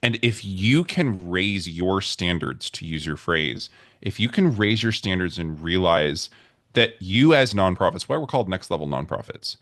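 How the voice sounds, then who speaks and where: Very deep-voiced man, earnest and rousing. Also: lively intonation, moderate pace, fairly bright tone, dry recording, studio quality. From United States. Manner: earnest, rallying speech-like delivery. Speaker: podcast guest, nonprofit consultant. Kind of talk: conversational interview.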